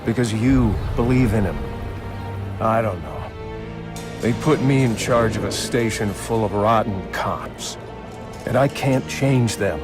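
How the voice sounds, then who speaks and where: An actor playing a weary, earnest middle-aged police captain, grave and sincere, in a video game drama. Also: male voice, on the low side, unhurried, lightly acted. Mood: troubled, hopeful. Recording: music behind.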